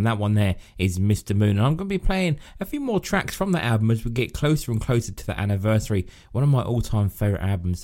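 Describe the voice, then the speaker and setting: A man presenting a radio music show, his voice husky from a sore throat, talking in a chatty, friendly way. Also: fairly low pitch, a little mellow. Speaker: radio host.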